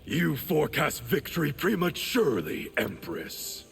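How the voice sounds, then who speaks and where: Very gravelly, arrogant ninja villain. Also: male voice, fairly high pitch, big pitch swings, a little slow, fairly bright tone, soft background music, exaggerated voice. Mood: cold, arrogant. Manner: haughty, clipped, unrepentant. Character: cold, ambitious, arrogant male ninja traitor. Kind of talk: dramatic video game acting. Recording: clear background noise.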